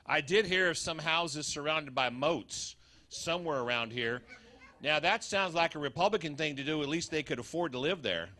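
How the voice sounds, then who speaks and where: A man plays a folksy cowboy in a stage radio comedy. His voice is a little high and sharp-edged, jumping around in pitch as he tosses off wisecracks. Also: lively intonation, normal speed. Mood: joking. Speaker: stage performer in a benefit show. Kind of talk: live comedic radio-show acting.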